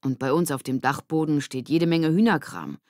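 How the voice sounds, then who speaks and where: A woman's voice: low-pitched, normal speed, darkish tone, no echo, clean studio sound, lightly acted. A woman voicing a blunt, casual schoolboy in a children's audiobook, in standard German. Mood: offhand.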